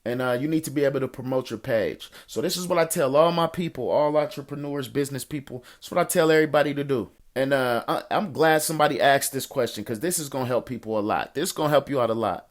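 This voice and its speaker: A man's voice: mid-range voice, fast talker, few pauses. An American man hosting an informal coaching call, answering listener questions in colloquial street slang, encouraging and repeating phrases for emphasis. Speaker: host of a financial coaching call, investor and entrepreneur.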